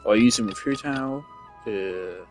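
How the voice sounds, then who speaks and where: Man, lowish voice, offhand gameplay talk, rambling and self-correcting, faint game music behind. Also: measured pace. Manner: rambling, thinking aloud with restarts. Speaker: gameplay streamer. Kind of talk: casual gameplay commentary.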